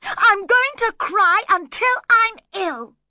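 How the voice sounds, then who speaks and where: A woman's voice: very high voice, lively intonation, moderate pace, sharp tone, no room sound, clean studio sound, voice pushed up, heavily acted. A woman voicing a spoilt, hot-tempered young woman in a storybook, cross, emphatic and imperious. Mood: distraught.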